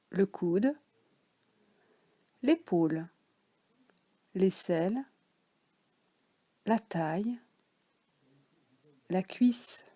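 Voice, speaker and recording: A French woman guiding a yoga nidra relaxation session, speaking softly with some words whispered. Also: on the low side. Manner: slow, soft, partly whispered guidance. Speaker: relaxation guide.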